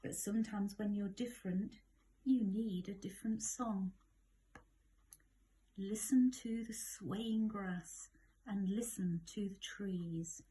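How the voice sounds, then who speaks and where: A woman voicing a small, kindly cricket in a storybook, speaking in a gentle, breathy, encouraging way. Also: medium pitch, fairly even intonation, slow pace, natural voice, rough recording. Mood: gentle encouragement.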